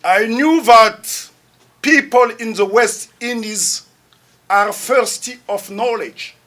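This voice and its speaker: Male guest lecturer, Congolese French accent, very deliberate pace, bright tone. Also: high voice. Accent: French-speaking Central African. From Republic of the Congo. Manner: slow, emphatic, rising to loud declarations. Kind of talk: public lecture.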